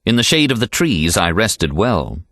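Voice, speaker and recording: A man's voice: lowish voice, sing-song, moderate pace, fairly bright tone, no room sound, clean studio sound. An American man reading a literary novel aloud as an audiobook narrator.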